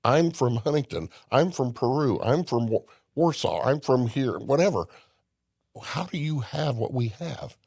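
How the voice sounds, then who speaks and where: Older man from Indiana, low gravelly voice, folksy storyteller, dry close mic. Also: animated, measured pace, darkish tone, no room sound. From Indiana, USA. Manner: folksy storyteller, emphatic, with restarts. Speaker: podcast guest. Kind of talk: casual conversation.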